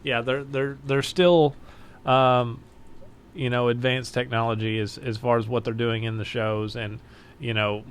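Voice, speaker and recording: Podcast guy, easygoing chat, mid pitch, cusses when he complains. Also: little pitch movement, unhurried, clean tone. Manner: laid-back, swears freely when griping. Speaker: podcast co-host.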